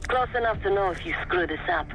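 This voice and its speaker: An actor playing a menacing, calculating mercenary in a video game, laying out a job in a cold, taunting manner. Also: male voice, high-pitched, expressive, fast, a bit bright, faint music behind. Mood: cold, scheming. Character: menacing, coolly calculating underworld mercenary plotting a hit. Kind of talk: scripted mission briefing. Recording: dull, muffled, with clear background noise.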